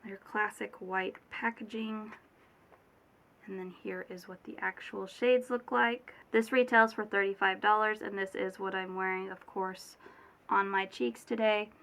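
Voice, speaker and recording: A woman's voice: medium pitch, level tone, a little slow, vocal fry, fairly bright tone, rough recording. A young woman with a cold, her voice a little off, chatting casually through a beauty unboxing, rambling with fillers and self-corrections. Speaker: beauty vlogger. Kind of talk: casual product unboxing chat.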